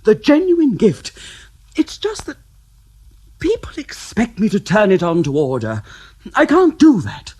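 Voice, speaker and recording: A sly, insinuating clairvoyant in a radio play, drawing out every word in a husky, unhurried drawl. The pitch swings hugely as he teases, with faint music underneath. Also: wildly swooping pitch, darkish tone, exaggerated voice. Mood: insistent. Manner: slow, teasing, insinuating. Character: sly male clairvoyant, cheap showman. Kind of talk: radio drama acting.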